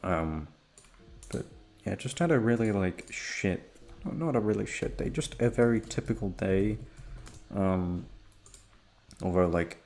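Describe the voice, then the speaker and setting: A young man chatting casually to his listeners in a quiet, low-energy, laid-back way, hesitant and swearing freely. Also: animated, measured pace, long pauses. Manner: hushed, low-energy, keeping quiet for others in the house. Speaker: audio roleplay creator and host. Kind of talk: rambling casual chat to listeners. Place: at home.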